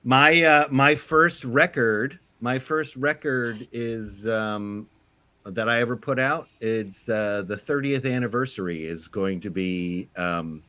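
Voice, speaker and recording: A man who is a guest musician, talking casually and haltingly with many pauses. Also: medium pitch, sharp tone. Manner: hesitant, halting with fillers. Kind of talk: casual chat.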